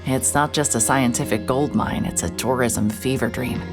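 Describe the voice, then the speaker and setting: A woman with a strikingly deep, low voice narrates a noir story in first person, dry and wry. A clear music bed runs under her words. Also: moderate pace. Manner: dry, wry, world-weary. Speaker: narrator and lead character, a private investigator.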